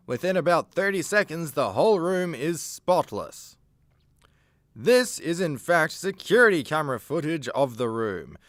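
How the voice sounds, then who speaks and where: A man's voice: a little high, sing-song, a little slow, a bit bright, dry recording, studio quality. A man reading scripted narration for a radio play.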